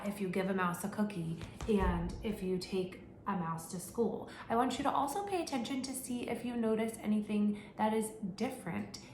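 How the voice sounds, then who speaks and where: A woman teacher reading a picture book aloud to young children, asking them questions along the way. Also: medium pitch. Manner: animated storytelling with questions to children. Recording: echoey room with faint hum.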